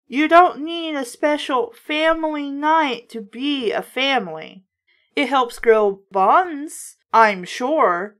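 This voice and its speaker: A woman reading a book aloud in a casual, expressive way, breaking in with sarcastic, opinionated remarks. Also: high voice. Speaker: host reading children's books aloud with commentary.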